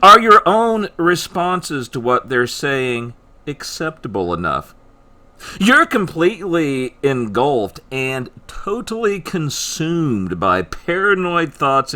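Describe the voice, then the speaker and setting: Slow, bright-voiced man reading his book. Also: medium pitch. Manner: measured, emphatic, second-person address. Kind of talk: slow earnest audiobook narration.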